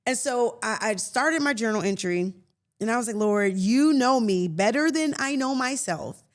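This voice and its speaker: A woman in her late fifties hosting a faith podcast, talking earnestly and conversationally with soft laughs and restarts. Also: fairly high pitch, expressive, normal speed. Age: 50s. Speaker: podcast host. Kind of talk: reflective faith podcast monologue.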